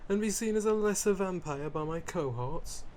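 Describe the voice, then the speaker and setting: A man's voice: high voice, normal speed, a little mellow, a little hiss or hum, natural voice. A man playing a theatrical, fussy vampire aristocrat in an ASMR roleplay, with pompous old-fashioned wording, flustered stammers and dramatic emphasis. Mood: exasperated, indignant. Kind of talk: ASMR roleplay character acting, addressing the listener.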